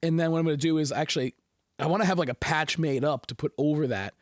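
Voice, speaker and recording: Slightly hoarse man, candid rambling host. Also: fairly high pitch, fast talker, dry recording, clean studio sound. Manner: candid, rambling, frequent fillers and restarts. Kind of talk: casual monologue.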